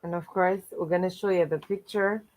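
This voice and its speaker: A woman's voice: lowish voice, steady tone, moderate pace, darkish tone, rough recording. A woman hosting a children's read-aloud livestream, encouraging and fond of repeating stock welcome phrases. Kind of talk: livestream hosting, greeting viewers and recapping stories.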